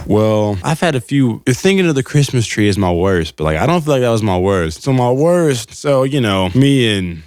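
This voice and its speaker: A man's voice: mid-range voice, very animated, moderate pace, darkish tone, clear, resonant tone, no room sound. A young man with a mid-range voice, recorded close and dry. He tells stories casually and wanders through them in loose, slangy phrasing, often quoting dialogue as he goes. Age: young adult. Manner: informal, meandering, slangy, quotes dialogue in retellings. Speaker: podcast storyteller. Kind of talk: casual comical storytelling.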